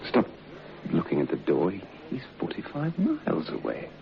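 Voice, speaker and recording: An actor playing a rough, blunt, passionate planter wooing another man's wife in an old radio drama. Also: low-pitched, huge pitch swings, moderate pace, exaggerated voice. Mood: ardent, reassuring. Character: rough, hot-blooded male planter wooing his partner's wife. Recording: dull, noisy old radio recording.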